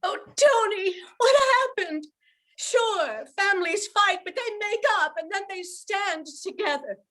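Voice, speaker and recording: A woman's voice: very high-pitched, unhurried, bright, forceful tone, heavily acted. A woman playing an earnest young widowed mother in an amateur radio play, with heartfelt, theatrical delivery. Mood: anguished.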